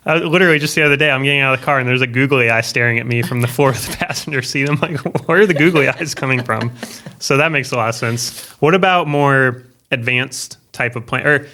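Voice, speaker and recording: Bright, clear-voiced man hosting casually. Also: medium pitch, fast talker. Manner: rambling, self-correcting questions. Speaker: podcast host. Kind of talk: casual interview chat.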